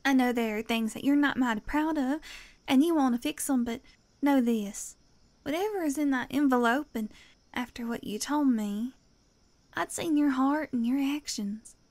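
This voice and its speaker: Actress as a high-voiced, caring woman confidante. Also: normal speed, darkish tone, no echo, natural voice. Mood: firm, tender. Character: caring, plain-spoken woman, earnest and reassuring confidante. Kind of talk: audio theater acting.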